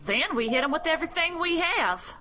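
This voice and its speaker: A woman's voice: very high voice, animated, brisk, pushed and bright, slight background noise, exaggerated voice. A woman playing a hopeful, stubborn soldier on monster watch in a comic two-person dialogue. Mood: insistent.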